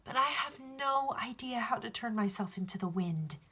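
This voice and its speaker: A frightened young shepherd boy from a storybook, acted out by a woman reader. The voice lifts higher, with an anxious, pleading edge. He protests like someone out of his depth. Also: expressive, normal speed, sharp tone, husky, exaggerated voice. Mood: anxious, protesting. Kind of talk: storybook read-aloud.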